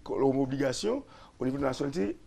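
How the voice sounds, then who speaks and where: A man, a lawyer and radio guest, explaining constitutional articles slowly, repeating and restarting phrases. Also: medium pitch, expressive, gravelly, over a noisy crowd. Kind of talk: explaining constitutional law on a radio talk show.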